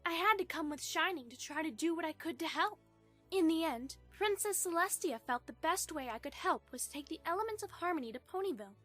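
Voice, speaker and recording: A woman playing a gracious, earnest young pony princess, gentle and polite, in a fan audio drama. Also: very high-pitched, animated, a little fast, faint music behind.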